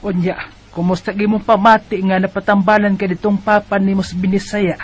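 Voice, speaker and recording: A man's voice: high voice, flat delivery, a little fast, soft background music, close to an everyday voice. A man with a Bisaya Filipino accent voicing a concerned mother questioning a sick son in a radio drama.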